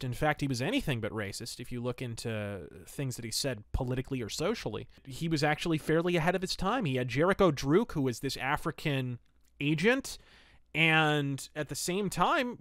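A man's voice: on the high side, a bit bright, dry recording, clean studio sound. A man hosting a podcast, casually reviewing and recapping stories in a chatty, digressive way with emphatic asides. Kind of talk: casual review and plot recap of pulp fiction.

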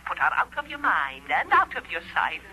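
An actress playing a spiteful, mocking old troll hag with a sharp cackle in a 1940s radio drama. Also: female voice, medium pitch, huge pitch swings, normal speed, slightly rough, full character voice. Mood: menacing. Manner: taunting, menacing. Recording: noisy, thin vintage 1946 radio recording.

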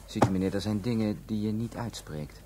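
An actor playing a formal, guarded drug supplier who speaks with measured politeness in a Dutch radio play. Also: male voice, lowish voice, natural voice. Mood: businesslike. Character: courteous, guarded foreign drug supplier, a man. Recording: noisy radio drama recording.

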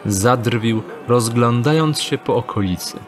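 A man narrating an audio drama in an even storytelling tone. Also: mid-range voice, a little slow, faint music behind.

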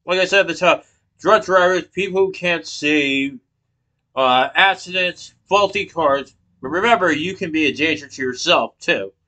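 Bright-voiced man giving a persuasive speech. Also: on the high side, normal speed. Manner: rhetorical questions, emphatic hand gestures. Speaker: student giving a class speech. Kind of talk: persuasive speech, partly read from notes. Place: small room indoors. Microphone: laptop webcam from below.

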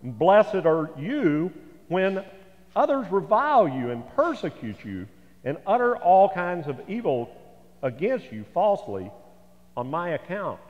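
An American pastor in his sixties delivers a folksy sermon in a large church. His voice sits a little high for a man and rises and falls in a very lively way. The sound rings with long hall reverberation. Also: measured pace. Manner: folksy, rhetorical asides to the congregation. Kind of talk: sermon, conversational preaching. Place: large church sanctuary, indoors. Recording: echoey church hall, slightly dull sound.

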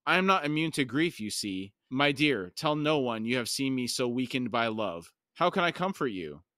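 An ancient female bird creature from a fantasy story, played by a man. She speaks solemnly and formally, stubborn in her loyalty. The voice is bright and forceful, recorded close and dry. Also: mid-range voice, sing-song, no room sound. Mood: grieving, tender. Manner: solemn, formal, measured phrasing. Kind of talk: audiobook-style fantasy storytelling.

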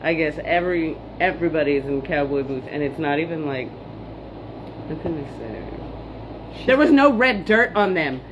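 Laid-back slangy woman, low and muffled. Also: animated, normal speed. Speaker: vlogger. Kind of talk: casual chat. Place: small hotel room, indoors. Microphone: phone camera held by her partner, off to the side. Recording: dull, noisy phone recording.

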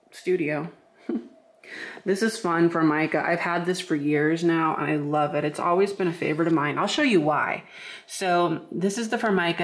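A young man, a vintage shop owner, chatting casually and rambling to a handheld camera indoors. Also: fairly high pitch. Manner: chatty and rambling, many "like"s and restarts. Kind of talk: casual vlog chat.

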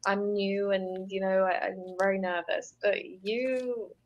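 A woman's voice: mid-range voice, fairly even intonation, takes it slowly. A young British woman, an English tutor, chatting encouragingly with a student over a video call from her home. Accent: British with some American tinge. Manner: encouraging, chatty, laughs easily. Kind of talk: casual online tutoring chat. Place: indoors at home. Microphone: earphone mic on a video call. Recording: slightly dull video-call audio.

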